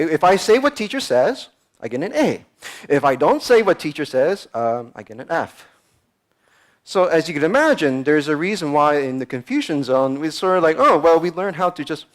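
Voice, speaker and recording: A man from the United States, a startup founder giving a conversational conference talk and acting out quoted voices. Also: on the high side. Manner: conversational, repeats words, acts out quoted voices.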